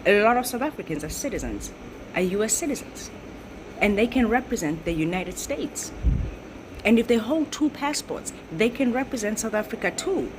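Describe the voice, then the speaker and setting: A woman's voice: medium pitch, animated, moderate pace, background noise. A woman giving commentary in a lecturing way, repeating her points and checking in with "right?". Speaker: social commentator. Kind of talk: explanatory commentary.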